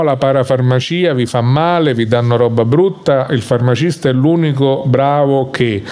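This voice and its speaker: A man's voice: mid-range voice, darkish tone. A man hosting a studio radio show, arguing his points with sarcastic asides and hesitant fillers. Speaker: radio host. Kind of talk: opinionated studio commentary. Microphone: studio microphone.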